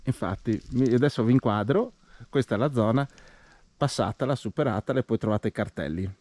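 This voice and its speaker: A man's voice: medium pitch, a little fast, a little mellow, no echo. A man giving casual, playful and encouraging commentary as he films and guides a walk outdoors. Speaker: hiking vlogger filming and guiding a walk. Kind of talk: casual trail commentary to viewers and companions. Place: outdoors on a mountain trail. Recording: clearly noisy outdoor handheld recording.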